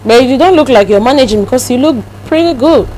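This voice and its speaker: A woman's voice: high voice, expressive, fast, darkish tone, a little hiss or hum, low-quality recording. A woman with a Cameroonian accent hosting a casual interview, exclamatory and often addressing her audience directly. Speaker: talk show host.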